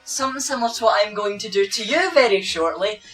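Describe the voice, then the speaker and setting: A woman's voice: medium pitch, animated, fast, a bit bright, recorded from a distance, soft background music, close to an everyday voice. A woman playing a flamboyant, theatrical court jester with a mocking manner and gleefully violent humor in an improvised question-and-answer skit. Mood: gleefully morbid.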